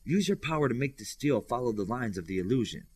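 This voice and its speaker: A man's voice: mid-range voice, expressive, fast, darkish tone, husky, dry recording. A man voicing a confident, artistic and helpful girl superhero in an audiobook. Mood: helpful, assured. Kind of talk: audiobook character dialogue.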